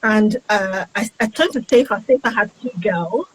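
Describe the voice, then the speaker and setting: A woman telling the story behind her book on a casual livestream. Her voice is very husky, and her pitch is ordinary. She is friendly and greets viewers by name as she goes along. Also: normal speed, low-quality recording. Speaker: author, guest.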